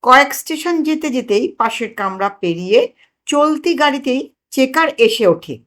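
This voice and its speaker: High, animated woman storyteller. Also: moderate pace. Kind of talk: expressive scripted narration of a comic story.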